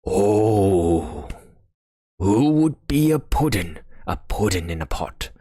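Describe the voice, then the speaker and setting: A man voicing a gloomy, grumbling storybook pudding in a gruff, mournful voice. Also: fairly low pitch, big pitch swings, takes it slowly, mellow, no room sound, clean studio sound, heavily acted. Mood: gruff mournful singing.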